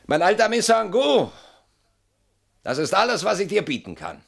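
A man's voice: sits high, wildly swooping pitch, moderate pace, creaky, exaggerated voice. An actor playing a weary, bitter middle-aged man in a theatrical German radio drama monologue, brooding and mocking, swinging between muttering and outbursts. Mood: insistent, pleading. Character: weary, bitter man haunted by a betrayed old friend.

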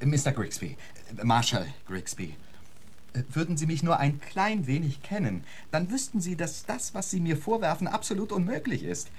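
A man's voice: on the high side, animated, moderate pace, a little hiss or hum, natural voice. A man playing a genteel, theatrical lecturer in a radio drama, protesting politely in flowery, mannered phrases. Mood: affronted, theatrical. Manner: flowery, mannered, eager to persuade. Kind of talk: German radio drama acting.

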